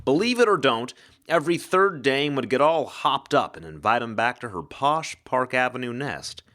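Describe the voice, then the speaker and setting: A man's voice: medium pitch, expressive, moderate pace, a bit bright. A man giving a dramatic scripted narration of a hard-boiled mystery story. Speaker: actor reading an audiobook-style story.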